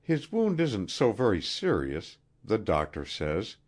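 A man voicing an anxious, earnest young queen in a storybook reading, halting and pleading. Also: lowish voice, wildly swooping pitch, exaggerated voice.

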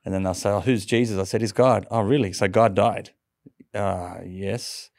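A man's voice: on the low side, fairly even intonation, normal speed, darkish tone, dry recording, studio quality. A middle-aged man from Sydney with an Australian accent, telling his own story conversationally as an interview guest.

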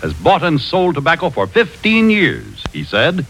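A male commercial announcer with a forceful, bright voice, a little high. His scripted 1940s radio read is highly animated, on an old recording. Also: measured pace, slight background noise, rough recording.

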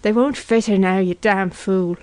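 A woman's voice: medium pitch, steady tone, normal speed, darkish tone, no room sound, natural voice. A woman voicing a blunt, sullen, callous man in an audiobook, speaking curtly. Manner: sullen, curt. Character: soiled, unshaven surly young man, callous and blunt. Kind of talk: literary audiobook character acting.

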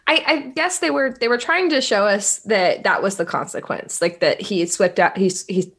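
A woman's voice: mid-range voice, moderate pace, a bit bright, husky. Woman co-host, rambling chatty recap voice. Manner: rambling, lots of "like". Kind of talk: casual drama recap chat.

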